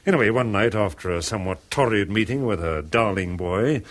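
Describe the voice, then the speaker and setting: A man's voice: on the low side, animated, brisk, close to an everyday voice. An actor playing a flippant, cynical upper-class man in a 1970s radio drama, breezy, sardonic and callously offhand. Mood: gossipy.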